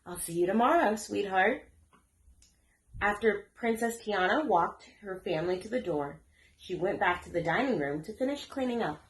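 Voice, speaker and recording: A woman reading a children's story aloud as an expressive storyteller. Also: medium pitch, moderate pace. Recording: echoey room.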